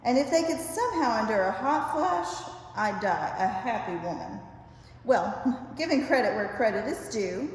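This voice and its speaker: An older woman reads a humorous essay aloud with expressive, wry storytelling delivery. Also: medium pitch. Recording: very echoey reverberant room, faint hiss.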